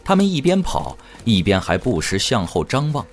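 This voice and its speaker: A man's voice: medium pitch, wildly swooping pitch, moderate pace. A man narrating a fantasy audio drama in a dramatic, scripted storytelling style. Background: music.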